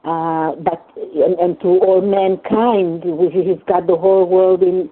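An older woman whose first language is Hungarian, sharing in a recovery meeting in a halting, earnest way, often repeating words. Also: on the low side, little pitch movement. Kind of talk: personal share in a phone recovery meeting. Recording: tinny phone line.

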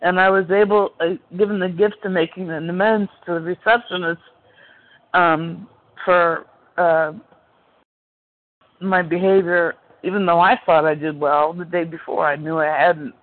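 A woman sharing her story in a recovery meeting, halting and reflective. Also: fairly low pitch, steady tone. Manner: halting, with many fillers and restarts. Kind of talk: personal recovery share. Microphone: phone call. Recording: tinny phone line.

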